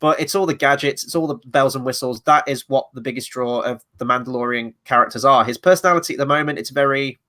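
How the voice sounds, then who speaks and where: A man's voice: mid-range voice, fast, few pauses, pushed and bright. A man hosting a casual review chat, a little stuffy from a cold, rambling through his opinions with fillers. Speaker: podcast host.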